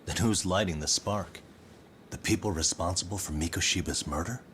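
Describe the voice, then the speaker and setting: A man's voice: deep voice, normal speed, a little hiss or hum. An actor playing a confident, wisecracking male detective in a video game cutscene. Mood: wry. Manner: wry, sardonic. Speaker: detective protagonist. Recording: game audio under loud music.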